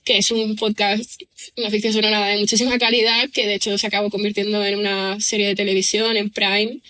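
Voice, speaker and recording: Bright-voiced woman musing with many fillers. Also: mid-range voice, level tone, fairly quick. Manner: thoughtful, rambling with many fillers and restarts. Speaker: writer and screenwriter guest. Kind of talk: casual podcast interview.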